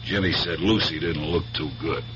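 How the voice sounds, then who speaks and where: An actor playing a breezy, nosy police lieutenant in a 1970s radio drama, chatty and needling in a casual way. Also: male voice, extremely low pitch, steady tone, normal speed. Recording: muffled 1970s radio broadcast with loud hiss.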